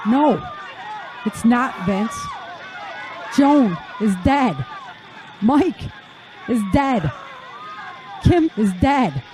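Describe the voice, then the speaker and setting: A high-pitched, anguished mother in a disaster audio drama, acted by a woman. She speaks slowly and sounds overwhelmed, with outdoor crowd noise around her. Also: lively intonation. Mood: grief-stricken. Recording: noisy outdoor scene with crowd ambience.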